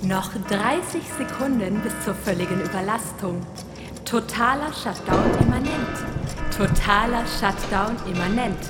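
A woman playing an impassive female computer announcer giving measured, impersonal warnings in a radio play. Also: medium pitch, lightly acted. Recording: echoing announcement over music.

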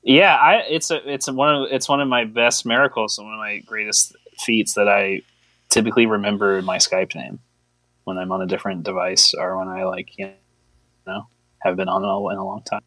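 A man's voice: on the low side, steady tone, normal speed, sharp tone, dry recording, low-quality recording. A man co-hosting a podcast in casual chat, joking, teasing and rambling as he goes. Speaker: podcast co-host.

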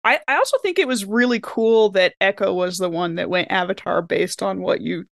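Woman podcast co-host rambling excitedly, says like a lot, mid pitch, really dry recording. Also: normal speed, gravelly, no room sound. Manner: enthusiastic, rambling, says like often. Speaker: podcast co-host and role-playing game player. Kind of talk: casual panel chat.

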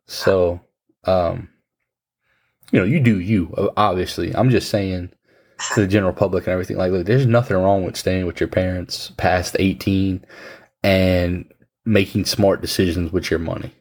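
A man's voice: sits low, steady tone, moderate pace, a little mellow, slightly rough. A man in his thirties in casual conversation, giving frank, blunt advice and swearing now and then. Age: 30s. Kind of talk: casual chat.

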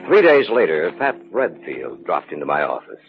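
A man playing a calm, skeptical narrator in a measured 1940s radio drama style. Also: extremely low pitch, exaggerated voice. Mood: sober, reflective. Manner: measured, sober. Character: calm, skeptical male narrator recalling strange events. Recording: lo-fi old radio, loud music.

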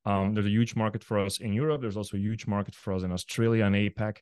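Belgian male podcast host, Dutch native, low smooth voice, dry close-miked sound; easygoing chat. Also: fast talker, no echo. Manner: relaxed, friendly, many fillers. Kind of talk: casual interview chat.

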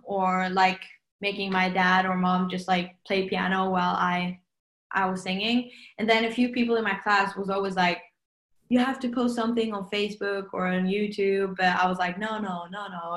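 A woman's voice: mid-range voice, fairly bright tone, roomy sound. A young woman from Norway with a Norwegian accent, a singer chatting casually in an interview and saying "like" all the time. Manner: chatty, says "like" constantly.

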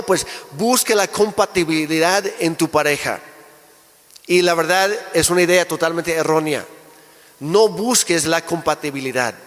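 High-voiced man preaching in an echoey hall. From Canada. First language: English. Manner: relaxed, joking, with restarts. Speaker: pastor. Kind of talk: sermon. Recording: echoey hall with long reverb.

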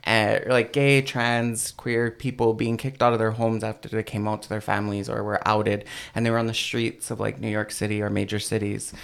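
A man's voice: fairly low pitch, little pitch movement, moderate pace, creaky, hardly any pauses, smooth. A man, a podcast guest, telling a personal story in casual chat, with many fillers and restarts.

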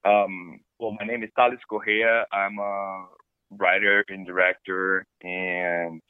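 A man with a Brazilian Portuguese accent talks as an interview guest, playful and animated but stumbling into restarts. His voice is fairly low. The sound is dry and thin, like a phone line. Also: slow pace, smooth, no room sound. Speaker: film director, guest. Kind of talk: casual interview chat.

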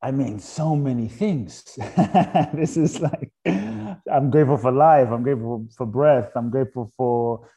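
A middle-aged man from Ghana, a guest author speaking in a thoughtful, halting way about spiritual ideas on a podcast. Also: mid-range voice, animated, gravelly, dark tone, slightly rough. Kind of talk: reflective podcast conversation.

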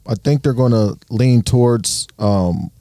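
A police officer from Ohio, with an Ohio accent, answering interview questions matter-of-factly with many pauses. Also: male voice, mid-range voice, dark tone, no room sound, studio quality. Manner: hesitant, lots of ums, matter-of-fact.

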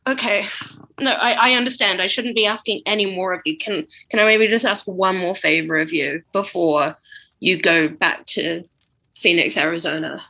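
Comedy sketch: oblivious old wizard headmaster woman performing, bright-toned, casual, chatty, stumbles over words. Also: lowish voice, moderate pace, vocal fry, exaggerated voice, poor audio. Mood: shaken. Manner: stammering, informal, slangy. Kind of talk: improvised comedy audio sketch.

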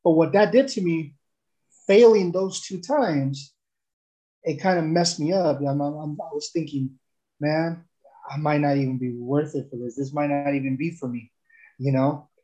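A young man from El Salvador tells his own story in a loose, rambling way, often stopping to restart. His voice sits a little high but sounds mellow and warm. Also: normal speed, lo-fi sound. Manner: rambling, with many fillers and restarts. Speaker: guest giving a testimonial. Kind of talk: casual testimonial chat.

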